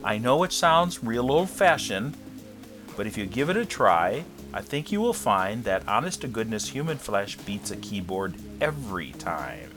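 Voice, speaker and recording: A man's voice: fairly low pitch, sing-song, measured pace, music in the background. A man hosting an advice podcast, reading listener letters aloud and answering them in a blunt, jokey, frank way.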